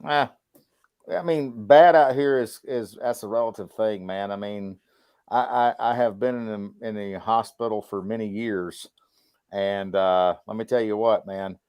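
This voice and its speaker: Low-voiced American man chatting casually. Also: unhurried, darkish tone, clear, resonant tone, no echo, clean studio sound. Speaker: podcast co-host. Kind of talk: casual livestream chat.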